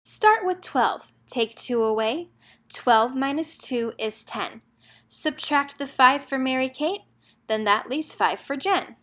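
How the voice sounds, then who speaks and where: A woman with a high-pitched voice reads a children's picture book aloud. She narrates expressively, following the rhythm of rhyming verse. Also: lively intonation, takes it slowly, fairly bright tone.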